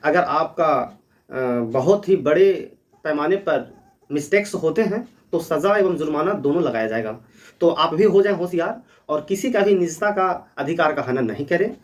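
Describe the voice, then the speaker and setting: A man with a high-pitched voice speaks as the host of an explainer. His manner is earnest and instructive, circling back to key points. He is recorded at some distance from the microphone. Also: expressive, normal speed. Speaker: host of a legal awareness channel. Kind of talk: explanatory talk to viewers.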